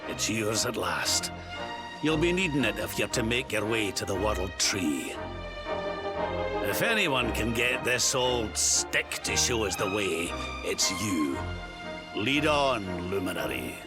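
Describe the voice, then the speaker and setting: An actor playing a doughty, fatherly old mentor in a video game, with a gravelly voice and weighty, folksy delivery. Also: fairly low pitch, sing-song, slow, over loud music. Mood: encouraging, kindly. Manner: dramatic, weighty storytelling with folksy turns. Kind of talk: video game cutscene acting.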